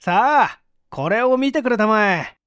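A man voicing an eager, friendly young male research technician in audiobook dialogue, hearty and energetic. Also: high-pitched, a little slow, clean tone, no echo, studio quality, pitched above the performer's own voice. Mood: hearty, excited.

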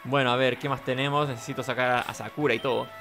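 A man's voice: medium pitch, flat delivery, fast talker, a bit bright, faint music behind. A man giving casual, rambling let's play commentary, talking to himself. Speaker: gaming host.

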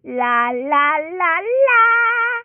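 Woman acting cheerful cartoon chicken mascot, high-pitched, singsong, animated, close dry sound. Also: extremely slow, no echo, phone-quality sound, soft background music, full character voice. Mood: bubbly, eager. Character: cheerful vain female animatronic chicken singer.

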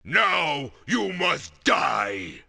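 Hammy cartoon-game monster, bombastic, gravelly edge, piercingly forceful, faint noise. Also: male voice, a little high, very animated, extremely slow, exaggerated voice. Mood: menacing, bellowing. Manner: hammy, melodramatic. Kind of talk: cartoon game villain acting.

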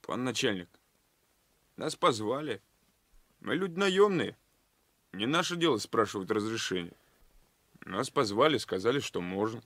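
An actor playing a deferential hired village musician in a radio play. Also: male voice, medium pitch, expressive, measured pace, long pauses, fairly bright tone, no room sound. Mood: apologetic. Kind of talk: Soviet radio play acting.

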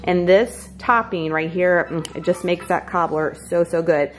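A woman's voice: fairly low pitch, a little slow, a little hiss or hum, low-quality recording. A woman chatting casually through a grocery haul in her kitchen, upbeat and talking straight to viewers. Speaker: vlogger showing a grocery haul. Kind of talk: casual chat. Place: home kitchen, indoors.

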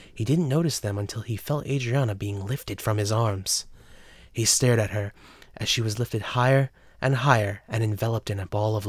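A man's voice: fairly low pitch, dry recording. A man narrating a scripted story for an audio drama.

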